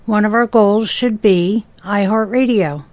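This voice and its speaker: A woman's voice: mid-range voice, unhurried, darkish tone, dry recording. A woman co-hosting a podcast with casual, agreeable, supportive chat. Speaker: podcast co-host.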